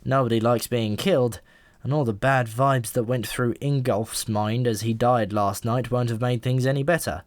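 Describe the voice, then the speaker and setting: A man's voice: medium pitch, steady tone, normal speed, no echo, natural voice. A man voicing a talking pigeon in an audiobook, a knowing and easily exasperated explainer with a wry, emphatic manner. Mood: explaining, wry.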